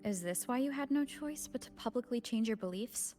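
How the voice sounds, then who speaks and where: A woman playing a calm, supportive young adventurer in a video game, speaking gently and directly. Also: on the high side, a little fast, soft background music, lightly acted. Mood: calm, inquiring. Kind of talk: video game character dialogue.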